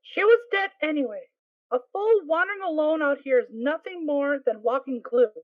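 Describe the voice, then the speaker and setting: A woman's voice: high voice, lively intonation, moderate pace, no echo. A woman voicing a hardened, impatient adult mare soldier who speaks in a curt, dismissive way. The audio is tinny and phone-like.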